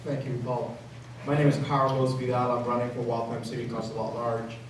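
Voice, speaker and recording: Flat-pitched man in a very echoey hall. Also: a little fast. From Peru. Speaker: city council candidate. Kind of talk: informal campaign speech. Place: large indoor public forum room. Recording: echoey hall, distant mic, background noise.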